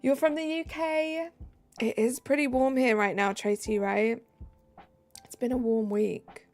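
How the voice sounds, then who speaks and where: Woman, southern English accent, higher voice, gravelly, chatty streamer, faint music behind. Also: fairly even intonation, measured pace, a little mellow. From south of England. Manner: chatty, reads out and answers chat, trailing fillers. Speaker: live streamer. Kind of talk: casual chat, answering viewer comments while gaming. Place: home room. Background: faint background music.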